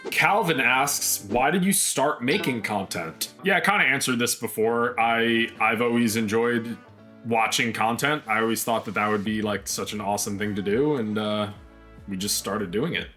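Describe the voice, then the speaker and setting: A man's voice: mid-range voice, moderate pace, bright, forceful tone, faint music behind. A young man hosting a casual question-and-answer video, easygoing and a bit hesitant as he reads out viewer questions. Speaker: host, video creator.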